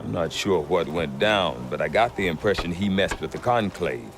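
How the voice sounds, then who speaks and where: An actor playing a gruff middle-aged trader who talks with dry humor. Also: male voice, deep voice, moderate pace, noisy background. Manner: wry, dry humor, lively delivery. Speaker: merchant trader. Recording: game cutscene with music behind.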